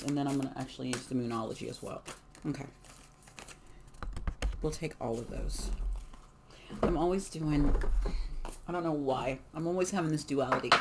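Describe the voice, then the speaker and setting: A hoarse card reader speaks in a chatty, emphatic way at a middling pitch, with a soft noise running underneath. The talk is loose and full of fillers. It stays encouraging as the reader urges listeners to let things go. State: hoarse, losing voice. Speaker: tarot and oracle card reader. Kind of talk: casual collective card reading.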